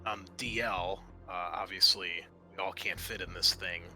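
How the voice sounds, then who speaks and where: A man voicing a calculating woman scientist in a tabletop roleplaying game, speaking in a halting, hedging way. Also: low voice, steady tone, sharp tone, faint music behind. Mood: confiding. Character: calculating woman scientist scheming over valuable samples. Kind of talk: improvised tabletop roleplay dialogue.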